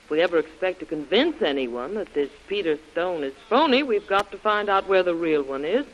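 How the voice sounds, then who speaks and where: An earnest, logical companion in a vintage radio serial. His voice sits a little high, with a matter-of-fact manner. The old broadcast sounds dull and lo-fi. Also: lively intonation, a little fast. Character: earnest, logical adult supporter of the hero's plan. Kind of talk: old-time radio adventure serial acting.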